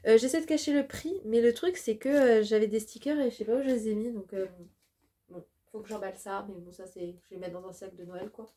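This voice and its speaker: A woman's voice: a little high, a little fast, dark tone. A woman in her twenties chatting casually to camera at home in a vlog, gushing and using many fillers. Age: 20s. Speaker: vlogger and design student.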